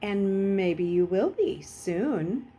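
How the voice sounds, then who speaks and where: A woman's voice: mid-range voice, expressive, extremely slow, mellow. A woman voicing a cocky, mocking teenage boy who leads his gang, brusque and offhand, in an audiobook. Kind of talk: audiobook character voice.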